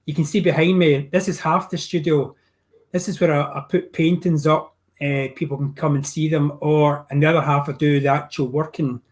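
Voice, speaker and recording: An older man with a Glasgow accent talks over a video call from his home art studio. His voice sits a little high for a man. He chats in a rambling, easygoing way, often restarting his sentences. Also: level tone, normal speed. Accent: Glaswegian. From Scotland. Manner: chatty, rambling with many restarts. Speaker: painting teacher. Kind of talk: casual video call chat.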